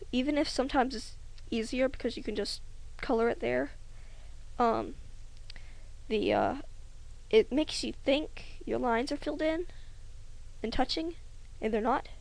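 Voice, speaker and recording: A young woman rambling hesitantly over a drawing tutorial, often trailing off into soft near-whispers. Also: fairly high pitch, normal speed, creaky, poor audio. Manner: rambling, hesitant, self-conscious, many ums. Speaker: hobby animator.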